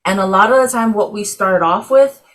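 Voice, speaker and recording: A woman's voice: mid-range voice, normal speed, fairly bright tone. A woman from Samoa leading a casual class discussion over a video call, chatty, with many fillers. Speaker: class facilitator.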